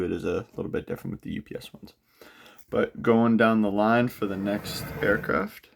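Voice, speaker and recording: A young man hosting a casual unboxing of model aircraft, sounding a bit nasal from a cold and talking in a relaxed way with frequent filler pauses. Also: sits low, creaky. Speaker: aviation model channel host. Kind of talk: casual product unboxing walkthrough.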